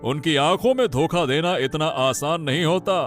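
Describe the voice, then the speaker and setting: A man's voice: a little high, moderate pace, soft background music, lightly acted. A man voicing a shrewd, jovial king who probes his courtier with stately, repeated phrases in a Hindi cartoon. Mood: knowing, commanding. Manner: stately, probing, repeats himself. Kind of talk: Hindi cartoon moral-story dubbing.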